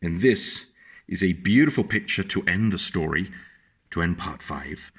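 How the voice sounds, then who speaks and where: A man reading a picture book aloud to young children, animated and stopping to explain the pictures to his small listeners. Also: low-pitched, measured pace, husky.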